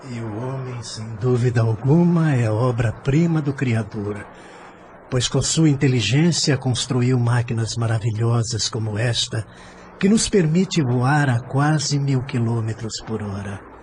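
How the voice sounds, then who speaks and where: A man's voice: medium pitch, unhurried, a little mellow, a little hiss or hum, close to an everyday voice. An actor playing an earnest, idealistic middle-aged businessman in an audio drama, speaking in a sincere, reflective, polite way. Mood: awed, reverent.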